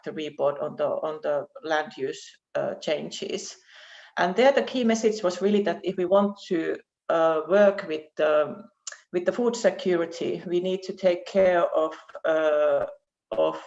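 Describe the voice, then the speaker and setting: A woman with a Finnish accent giving an academic presentation as a research professor over an online call, speaking haltingly with repeated words. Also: on the low side, a little mellow. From Finland. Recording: dull, muffled online call audio.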